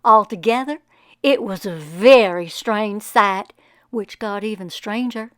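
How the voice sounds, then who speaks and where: Woman reading as a slow, folksy storybook farmer. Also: medium pitch, smooth, no room sound, clean studio sound, natural voice. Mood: wonderstruck. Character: folksy, wonderstruck farmer recalling a magical childhood summer. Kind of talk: storybook first-person narration.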